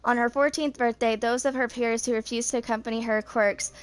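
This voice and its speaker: A young woman in her twenties reads a story aloud. Her voice sits a little high and is very clear and smooth. The delivery is an amateur narration that halts slightly here and there. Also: steady tone, brisk. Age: 20s. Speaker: reader of game character lore.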